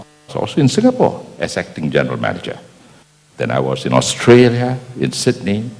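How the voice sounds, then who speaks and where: An older man from Malaysia giving a rambling, anecdotal conference speech with many fillers and restarts. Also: deep voice. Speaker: keynote speaker. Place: large convention hall. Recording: long hall reverb.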